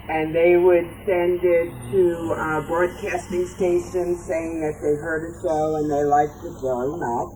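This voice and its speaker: A woman's voice: low voice, warm tone. A woman giving an informal show-and-tell talk about a collection as a club member. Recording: noisy meeting room.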